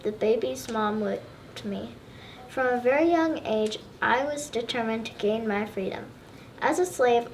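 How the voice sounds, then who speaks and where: A girl of about eight or nine reciting a first-person school presentation as a historical figure. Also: fairly high pitch. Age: child, about 8 or 9. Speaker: third grade student presenter. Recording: distant mic, faint room noise.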